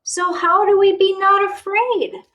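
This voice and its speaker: A woman voicing an excitable, easily frightened young boy fish in a storybook, with dramatic exclamations. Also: extremely high pitch, unhurried, lo-fi sound, voice pushed up. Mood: curious, timid.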